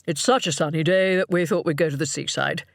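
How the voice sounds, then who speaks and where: A woman voicing a smooth, confident, well-off father in an audiobook, casual and hearty. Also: low-pitched, steady tone, fairly quick, no room sound, studio quality. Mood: breezy, coaxing.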